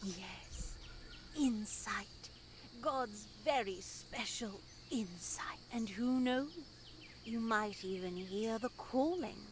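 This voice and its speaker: Slow, stern, sanctimonious nun. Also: female voice, a little high, a little hiss or hum. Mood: solemn, measured. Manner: measured, formal, preachy. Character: stern, sanctimonious nun lecturing two young women in her care. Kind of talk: dramatic visual novel voice acting.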